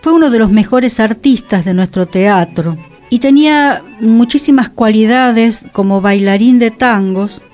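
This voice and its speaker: A woman's voice: a little high, measured pace, darkish tone, faint music behind. A woman with an Argentine accent presenting on radio, explaining theatre history informally through anecdotes.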